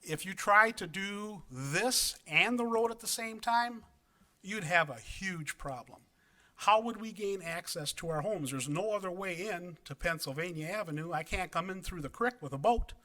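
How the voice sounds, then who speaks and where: A man from Wisconsin speaking at a city plan commission meeting, earnest and halting, with many ums and restarts. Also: sits high, bright, forceful tone. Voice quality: big voice. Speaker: resident speaking at public comment. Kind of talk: public meeting comment. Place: council chamber.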